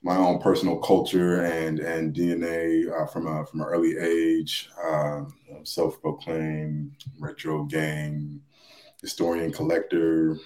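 A man chatting casually as a podcast guest, halting and hedging as he repeats his words. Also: extremely low pitch, completely flat delivery, darkish tone. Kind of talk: casual chat. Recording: roomy, echoey sound.

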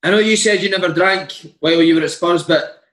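A man's voice: high-pitched, fairly quick, fairly bright tone. A man working as an interviewer, asking casual questions about football, recorded with a roomy, echoey sound.

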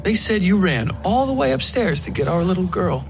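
A man's voice: sits high, big pitch swings, normal speed, over music, voice pushed up, rough recording. A man voicing a smug husband who gushes with false, patronizing sweetness in a horror story. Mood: patronizing praise. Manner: gushing, patronizing.

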